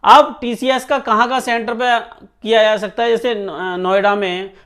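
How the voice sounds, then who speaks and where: A man's voice: high-pitched, fairly even intonation, normal speed, fairly bright tone, clean tone. A man speaking informally to students as a coaching teacher, emphatic and often checking that they follow. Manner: emphatic, repeatedly checks listeners understand. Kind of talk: informal exam news talk to students.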